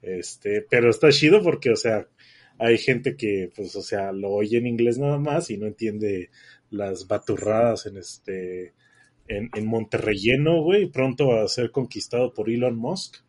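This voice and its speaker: A man's voice: on the low side, lively intonation, measured pace, husky. A man with a northern Mexican accent chatting casually as a podcast co-host, rambling with lots of fillers and restarts. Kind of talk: casual chat.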